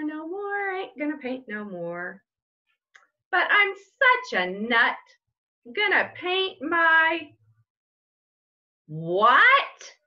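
A middle-aged woman reading a children's picture book aloud in a sing-song way, with drawn-out, emphatic delivery. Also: high voice, highs cut off. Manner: drawn-out build-ups with loud emphatic punch words.

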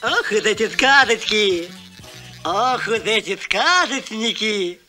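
A woman's voice: mid-range voice, lively intonation, takes it slowly, sharp tone, faint music behind. A woman playing a dissatisfied cartoon character who grumbles in exclamations. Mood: grumbling. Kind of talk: cartoon voice acting.

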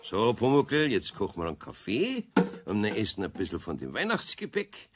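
An actor playing a homely, good-natured older master carpenter with a Bavarian accent in a children's radio play, patient but firm. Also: male voice, fairly low pitch, wildly swooping pitch, moderate pace, noisy background. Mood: cosy. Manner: homely, colloquial, gently firm.

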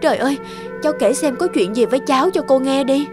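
A woman's voice: high-pitched, fast talker, lightly acted. A woman voicing a caring, worried female psychologist and mother in a cartoon. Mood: concerned. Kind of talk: cartoon dubbing. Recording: clear music behind the voice.

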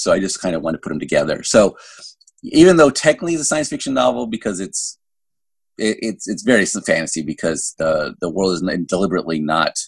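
A middle-aged man speaks in a low, husky voice with very little room sound. He chats casually as an interview guest, looping back and restarting his thoughts as he explains. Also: dry recording. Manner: rambling, self-interrupting with repeated false starts. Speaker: guest novelist. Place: indoor room at home. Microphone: phone at arm's length on a video call.

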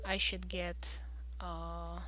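A woman's voice: fairly low pitch, flat delivery, extremely slow, sharp tone, a little hiss or hum. A woman giving casual game walkthrough commentary, thinking aloud in a hesitant way. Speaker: gaming video host.

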